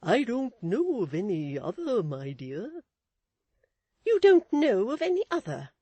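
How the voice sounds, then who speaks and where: A woman's voice: big pitch swings, unhurried, warm tone, breathy edge, no room sound, full character voice. A woman voicing a middle-aged wife who scolds her husband with withering, self-righteous indignation. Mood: indignant. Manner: high-pitched, withering, sharply emphatic.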